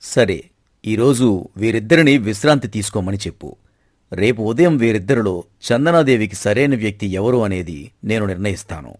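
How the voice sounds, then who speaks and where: Man playing a commanding low-voiced king. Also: lively intonation, a little mellow, no echo, studio quality. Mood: decisive. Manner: commanding, formal, measured. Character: stern, proud king and father, authoritative and dismissive. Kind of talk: dramatized moral story acting.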